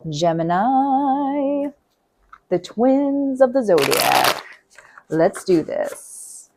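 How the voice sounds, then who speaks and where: A woman reading tarot in a casual, chatty way, thinking aloud and repeating herself, her voice going hoarse. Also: on the high side, sing-song, drawn-out words, darkish tone, slight background noise. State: losing her voice. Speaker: tarot reader.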